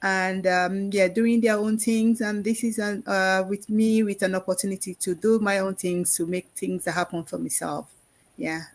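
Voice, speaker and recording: A woman's voice: medium pitch, steady tone, moderate pace. A mature woman in her fifties or older from England, chatting casually as an interview guest. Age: 50s or older.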